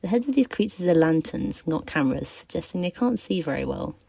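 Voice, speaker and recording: A woman's voice: on the low side, expressive, brisk, gravelly, dark tone, breathy edge. A woman reading out her prepared opinion as a listener's voice message. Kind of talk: prepared, scripted opinion piece.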